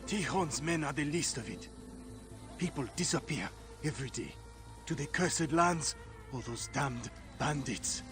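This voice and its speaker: An actor playing a bitter, world-weary villager of ancient Egypt in a video game. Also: male voice, a little high, expressive, a little slow, music in the background. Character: embittered, respectful male villager of ancient Egypt. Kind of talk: dramatic video game cutscene acting.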